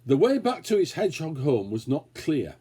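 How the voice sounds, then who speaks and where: A man reading a children's story aloud, expressively and with dramatic pauses. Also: fairly high pitch, wildly swooping pitch, mellow, breathy edge. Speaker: story reader. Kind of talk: scripted children's story narration.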